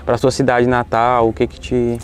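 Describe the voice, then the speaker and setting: A man's voice: medium pitch, completely flat delivery, normal speed. A man with a Brazilian accent hosting an interview, asking questions in a friendly, informal way. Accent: Brazilian Portuguese. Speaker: interviewer, channel host. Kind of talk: casual interview.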